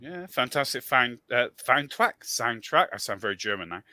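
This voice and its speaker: A man's voice: medium pitch, very animated, normal speed, sharp tone. A man with an English West Country accent, chatting and joking in a casual group talk, swearing freely and laughing often.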